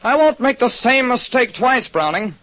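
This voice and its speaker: A man's voice: extremely high pitch, lively intonation, moderate pace, sharp tone, voice pushed up, full character voice. A man playing a hot-tempered, bossy, lovesick client in an old radio detective drama, with emphatic, commanding delivery. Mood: bossy, impatient. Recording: dull, noisy old radio recording.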